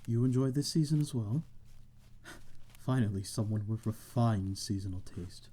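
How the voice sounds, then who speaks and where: A man's voice: fairly low pitch, moderate pace, mellow, roomy sound, lightly acted. A man playing a courteous, lonely vampire lord in an ASMR roleplay, speaking in a genteel, formal and slightly hesitant manner. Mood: serene, refined. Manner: genteel, formal, hesitant, old-fashioned wording. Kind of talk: ASMR audio roleplay, amateur drama.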